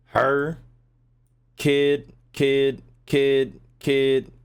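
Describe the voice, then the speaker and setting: A man's voice: mid-range voice, monotone, very slow, clear, resonant tone. A man livestreaming a game, chatting casually to his viewers in slangy, profane, joking talk. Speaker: game streamer.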